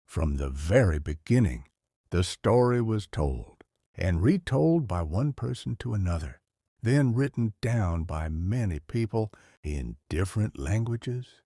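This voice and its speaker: An actor playing a kindly old grandfather who tells Bible stories in an unhurried, expressive, theatrical way. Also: on the low side, dry recording, studio quality, full character voice. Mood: earnest. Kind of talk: dramatized audio story narration.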